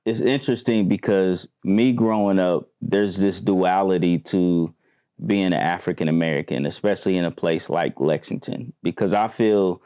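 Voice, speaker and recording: Low mellow-voiced male talk host. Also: normal speed, clean tone. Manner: conversational, affirming, asks follow-up questions. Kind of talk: talk show discussion.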